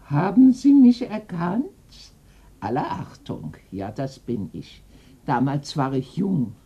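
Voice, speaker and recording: An actress playing an eccentric, proud old lady in a radio mystery, husky and theatrical, drawing out her words. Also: sits low, very animated, slow, dull audio, heavily acted. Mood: nostalgic, grand. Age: older. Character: eccentric old lady, dreamy, proud former jungle traveller. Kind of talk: 1990s German radio mystery play.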